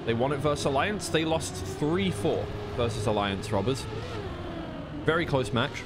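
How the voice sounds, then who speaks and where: A man's voice: mid-range voice, animated, normal speed. A man giving live commentary on a racing game in an informal, slightly flustered way, thinking aloud between calls. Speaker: esports commentator and streamer. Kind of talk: live racing game commentary mixed with casual stream chat. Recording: clear noise, game car engines behind.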